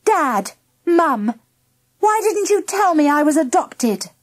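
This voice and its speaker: A woman voicing a bossy, excitable young schoolgirl in a children's story, exclaiming and giving orders. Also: sits high, slow pace, pitched above the performer's own voice, heavily acted. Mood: demanding attention. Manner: exclamatory, commanding.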